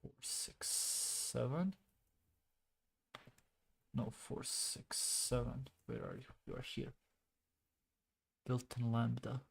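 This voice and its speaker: A man thinking aloud while debugging code on a stream, halting and muttering to himself, often trailing off. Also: mid-range voice, level tone, creaky. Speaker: programming streamer.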